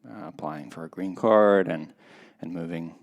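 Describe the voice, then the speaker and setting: A man's voice: low-pitched, fairly even intonation, dull audio. A man in his thirties from Russia, a podcast guest speaking reflectively and unhurriedly in a casual interview. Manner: reflective, unhurried, hesitant with many pauses. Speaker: podcast guest, skydiving competitor.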